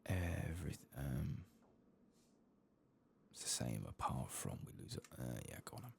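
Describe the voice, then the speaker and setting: A man doing soft-spoken, partly whispered ASMR game commentary, murmuring to himself in an understated way. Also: a bass voice, sing-song, unhurried, creaky, a little hiss or hum.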